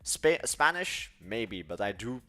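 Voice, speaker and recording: A man's voice: on the low side, sing-song, slow, pushed and bright. A young man with a Dutch accent chatting casually on a livestream, rambling and correcting himself as he goes. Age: young adult. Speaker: livestream host.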